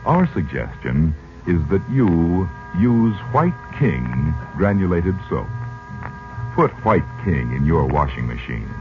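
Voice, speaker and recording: A man with a deep, highly animated voice announces on a muffled old radio recording. His delivery is theatrical and scripted, in the manner of a 1940s program host. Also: slow pace, music in the background.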